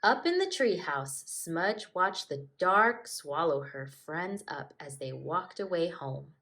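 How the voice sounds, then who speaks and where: A woman narrates a children's storybook as a teacher would. Her voice is on the lower side, with animated intonation and a slow, careful pace. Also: gravelly.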